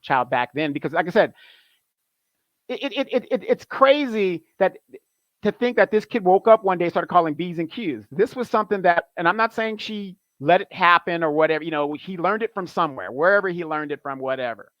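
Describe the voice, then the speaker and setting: Animated man on a dull, dry line. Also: sits high, a little fast, no echo. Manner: animated, repetitive, emphatic. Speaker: guest. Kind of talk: casual opinion talk.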